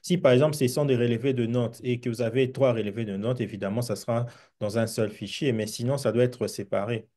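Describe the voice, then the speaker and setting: Man, university official, mellow voice, explanatory, hesitant. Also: mid-range voice, steady tone, a little fast, few pauses. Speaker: university official answering questions. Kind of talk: answering questions in an online meeting.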